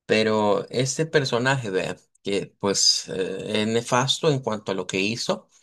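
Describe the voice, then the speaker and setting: A man teaching a live online language class. He explains at length, models sounds slowly and often checks in with his students. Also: fairly low pitch, fairly bright tone. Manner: checks in with students, asks "verdad".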